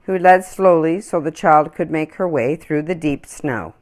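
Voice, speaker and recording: A woman reading a children's story aloud in an expressive, deliberate storytelling manner. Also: sits low. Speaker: children's story-time reader.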